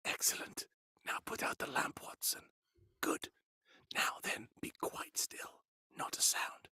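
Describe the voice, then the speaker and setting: A man's voice: slow pace, sharp tone, dry recording, in a whisper. A man playing a brilliant, self-assured, commanding male detective in a live radio drama, theatrical and pompous in delivery. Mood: hushed, tense. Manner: theatrical, bossy, pompous monologuing. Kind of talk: live cold-read recreation of 1940s radio drama. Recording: live stage recording with clear background noise.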